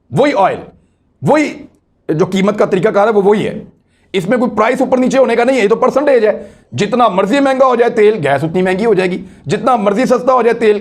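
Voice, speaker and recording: A man with a Pakistani accent giving explanatory news commentary in an emphatic way, repeating key phrases and asking rhetorical questions. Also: high voice. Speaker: political commentator hosting own online video.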